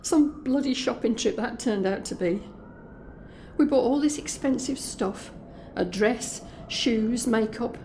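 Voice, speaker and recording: A woman's voice: fairly high pitch, unhurried, mellow, slight background noise. A woman playing an anxious but determined young escort in an intimate, conversational audio drama monologue. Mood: troubled, confiding. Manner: confiding, conversational.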